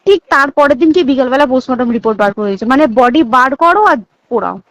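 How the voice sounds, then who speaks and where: High-voiced Indian Bengali woman on the phone. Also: expressive, very fast, dry recording. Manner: emphatic, repeats points, checks listener understands. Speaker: hospital staff member. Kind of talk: private phone conversation, recounting insider details. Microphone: phone call recording. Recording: dull lo-fi recorded phone call.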